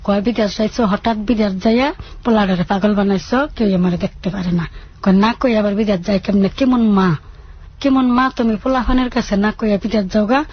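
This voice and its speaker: A woman's voice: mid-range voice, brisk, breathy edge. A woman with a rural Bangladeshi dialect telling her life story in a plaintive, colloquial way. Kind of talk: emotional personal storytelling. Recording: dull lo-fi radio audio.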